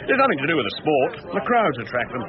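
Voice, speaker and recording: Bluff, hearty police superintendent. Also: male voice, fairly high pitch, big pitch swings, fast. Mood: worldly, scornful. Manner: hearty, expansive. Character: bluff, worldly, genial male police superintendent. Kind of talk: radio drama acting. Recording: dull radio drama, crowd behind.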